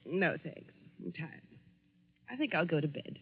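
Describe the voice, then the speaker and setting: A woman playing an evasive, anxious wife in a 1940s radio drama, speaking in a flustered, halting way. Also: low voice, animated, husky, heavily acted. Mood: tired, evasive. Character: evasive, anxious wife under police questioning. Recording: thin, tinny 1940s radio recording.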